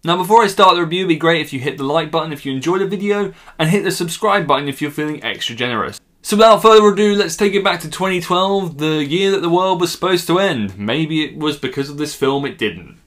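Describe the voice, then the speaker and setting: A man's voice: on the high side, animated, quick pace, few pauses, a bit bright. A man in his twenties narrating a scripted video review in a sardonic, scornful way. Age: 20s. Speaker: film reviewer.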